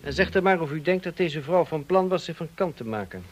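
Smalende, hoge mannenstem van een gladde chanteur. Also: male voice, fairly high pitch, expressive, fairly quick, highs cut off. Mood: accusing. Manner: mocking, drawling, falsely polite. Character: smug, insinuating male blackmailer, cousin of the dead woman. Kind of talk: radio play acting.

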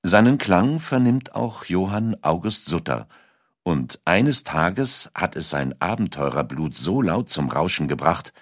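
A man narrating a scripted documentary story in a measured way, with dramatic emphasis. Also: deep voice, big pitch swings, no echo, clean studio sound. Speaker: audiobook narrator.

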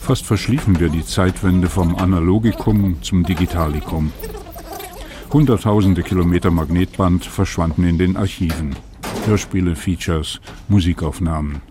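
A man's voice: low voice, normal speed. A man narrating a personal radio documentary in a reflective, wry, conversational way. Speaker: radio feature author and narrator.

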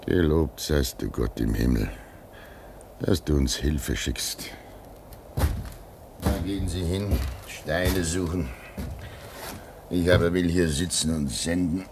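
Radio play: lonely, pious polar wireless man. Low-pitched, drawn-out delivery, prayerful pleading, noisy archival sound. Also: heavily acted. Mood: prayerful, yearning. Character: lonely, devout radio operator Wladimir at an Arctic station.

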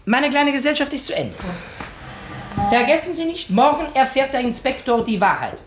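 A shrewd, theatrical detective in a 1950s radio drama. His light male voice swings widely in pitch as he probes, deliberate and sure of himself. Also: big pitch swings, music in the background. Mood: measured, reasoning. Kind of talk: 1950s German radio play acting.

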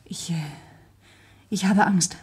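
An actress playing a proud, secretive, anguished young gentlewoman in a German radio crime drama, formal and solemnly dramatic. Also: medium pitch, fairly even intonation, slow, slightly rough. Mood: fearful, anguished.

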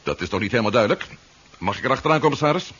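A man's voice: medium pitch, lively intonation, very fast, fairly bright tone, breathy edge. An actor playing a shrewd, wry policeman hero in a 1970s radio drama, with a dry manner. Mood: brisk, deceptive. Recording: dull, muffled 1970s radio recording.

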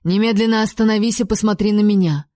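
A woman's voice: mid-range voice, fairly even intonation, fast, warm tone, dry recording, studio quality, voice pushed up, lightly acted. A woman reading an audiobook, voicing a stern, jealous king who gives curt commands.

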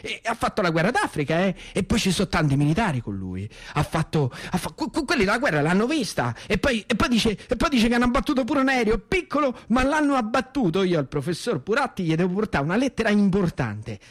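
An actor playing a naive, lame country youth recalling wartime in a theatrical radio monologue, colloquial and repetitive, with a central Italian accent. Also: male voice, sits high, big pitch swings, fairly quick, few pauses. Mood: eager, naive. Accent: central Italian, Lazio. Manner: colloquial, breathless, repetitive, wide-eyed.

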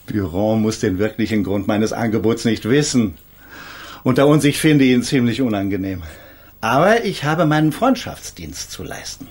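A middle-aged man playing an eminent defence lawyer in a radio crime drama, husky and formal, with measured, patronising courtesy. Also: on the low side, big pitch swings, a little mellow. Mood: courteous, condescending.

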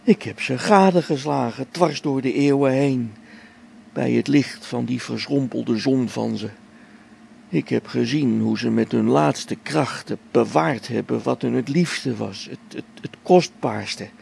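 An actor playing a middle-aged male space explorer whose faith is shaken, speaking a brooding, halting radio play log that sinks to hushed whispers. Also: mid-range voice, slight background noise. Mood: anguished. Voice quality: gravelly. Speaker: log-keeping space expedition member.

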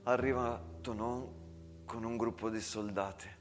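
Man storytelling softly over guitar. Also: mid-range voice, steady tone, unhurried. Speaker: stage storyteller, actor. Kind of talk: theatrical monologue narration of a war story. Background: acoustic guitar.

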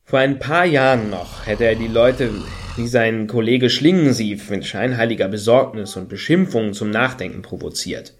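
A man's voice: mid-range voice, moderate pace, few pauses, close to an everyday voice. An actor playing a wordy, wry and hesitant male fan in a German radio play. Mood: wry, musing. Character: hesitant, sardonic male fan of a washed-up satirist. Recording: hissy background noise.

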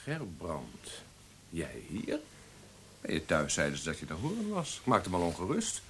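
Deep, animated, admonishing man. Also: normal speed, heavily acted. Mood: worried surprise. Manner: reproachful, concerned. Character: concerned, admonishing male friend. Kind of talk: Dutch radio play acting. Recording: faintly hissy old radio play recording.

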